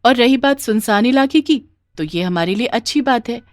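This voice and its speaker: A woman voicing a sensible, hopeful young daughter who talks earnestly and reassuringly in an animated moral story. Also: a little high, moderate pace, dry recording, studio quality, pitched above the performer's own voice, lightly acted.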